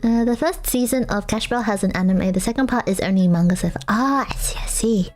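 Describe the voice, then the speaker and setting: A woman's voice: mid-range voice, fairly quick, darkish tone, faint music behind. A young woman streaming a casual chat with viewers, rambling and self-mocking with lots of fillers and frequent laughter. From Australia.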